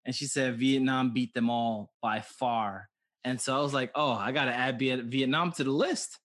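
A man's voice: medium pitch, steady tone, moderate pace, fairly bright tone. A man with an everyday mid-range voice hosts a podcast. He keeps it loose and jokey and laughs along with his guest. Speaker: podcast host. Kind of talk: casual interview chat.

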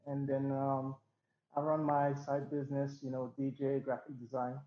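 A young man from Haiti, a DJ, talking in a relaxed, rambling way with many fillers, as a guest on a video call. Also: medium pitch, normal speed, reverberant, lo-fi sound. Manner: laid-back, rambling with many fillers and restarts. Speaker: DJ and interview guest. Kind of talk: casual interview over a call.

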